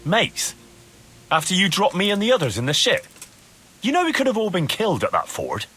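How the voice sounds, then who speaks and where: A man's voice: medium pitch, big pitch swings, brisk, a bit bright, a little hiss or hum. A man playing a hard-nosed young medieval man-at-arms in a video game, blunt, sarcastic and pressing. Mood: scornful, angry. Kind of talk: video game cutscene acting.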